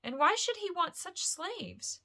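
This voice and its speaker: A woman's voice: very high-pitched, very animated, a little slow, vocal fry, pushed and bright, voice pushed up, heavily acted. A woman voicing an anxious, questioning male hobbit in a bedtime storybook, with an urgent, unsettled manner. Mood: alarmed, puzzled. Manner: quavering, stammering, urgent.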